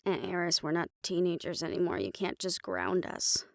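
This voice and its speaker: A woman's voice: on the low side, moderate pace, creaky, no room sound, studio quality. A woman playing cheeky young women from a troublemaking friend group in an audio drama, flippant and defensive as they protest. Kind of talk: scripted audio roleplay drama acting.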